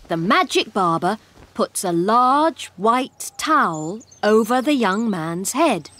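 Slow, expressive woman storyteller. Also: a little high, a little hiss or hum. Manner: deliberate, expressive. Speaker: storybook narrator. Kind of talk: scripted narration of a simple story for English learners.

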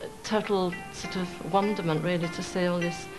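A woman's voice: on the low side, steady tone, moderate pace, over music, low-quality recording. A woman costume designer speaking in an interview, thoughtful and a little hesitant, with fillers.

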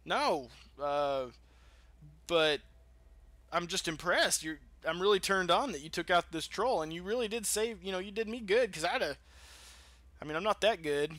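A man's voice: high-pitched, expressive, moderate pace, fairly bright tone, dry recording. A man giving casual, rambling, profane commentary as he hosts a gameplay video. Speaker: gamer hosting a let's play. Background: video game ambience.

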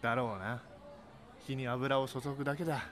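A man's voice: medium pitch, fairly even intonation, brisk, a bit bright, lightly acted. A man playing a wry, brusque middle-aged bachelor friend in Japanese voice drama dialogue. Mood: wry, teasing. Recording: clear background pub ambience noise.

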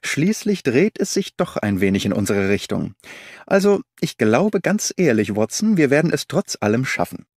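A man voicing a brisk, confident detective who explains his reasoning eagerly, in an audiobook reading. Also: dry recording, clean studio sound. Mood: eager explaining. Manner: animated, briskly explaining, lifted pitch.

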